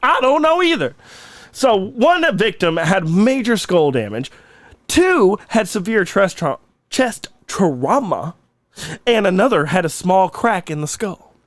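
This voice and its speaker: A man's voice: high-pitched, big pitch swings, a little slow, dry recording. A man in his thirties co-hosting a comedy podcast in a hammy put-on mock Russian accent, dropping little words as he jokes. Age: 30s. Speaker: podcast co-host.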